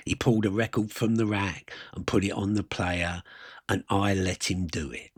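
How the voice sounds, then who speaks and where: A man with a husky voice, telling a first-person story in a wry, colloquial, self-deprecating way. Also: low-pitched, steady tone, moderate pace, no room sound, studio quality. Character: wry working-class South London man reminiscing about his youth.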